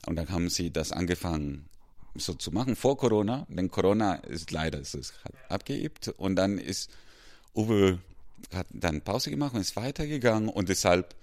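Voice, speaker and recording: Deep-voiced man with a South American accent. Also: expressive, darkish tone. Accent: South American Spanish. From Ecuador. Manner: halting, restarts often, laughs easily. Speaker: music school head. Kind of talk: casual interview chat.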